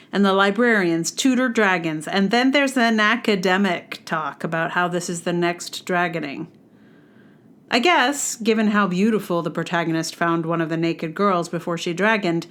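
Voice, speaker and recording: A woman podcast host with a mid-pitched voice reads her own written review aloud. Her delivery is wry and sardonic, leaning on key words with exaggerated emphasis. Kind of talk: reading aloud a personal book-review blog.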